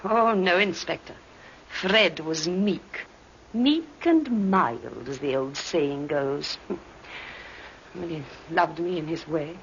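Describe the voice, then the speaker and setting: British widow in old radio mystery, slow drawn-out genteel talk, bit scornful, muffled hissy sound. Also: female voice, lowish voice, lively intonation. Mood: cool, wry. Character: cool, faintly scornful English widow in a crime drama. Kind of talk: old-time radio drama acting.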